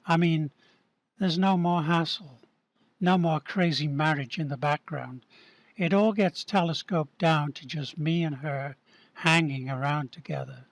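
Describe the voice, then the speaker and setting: A man's voice: on the high side, gravelly, no echo. A man reading the part of a restless, divorced cigar smoker who rambles and questions himself. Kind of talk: amateur radio-play reading.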